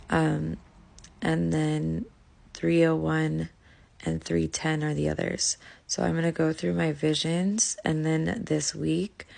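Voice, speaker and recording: Woman losing her voice, real gravelly and low, slow, lots of ums, reading from her notes. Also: darkish tone. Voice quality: gravelly, croaky. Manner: hesitant, many ums, earnest. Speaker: religious video maker. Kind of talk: casual spoken devotional video, reading from notes.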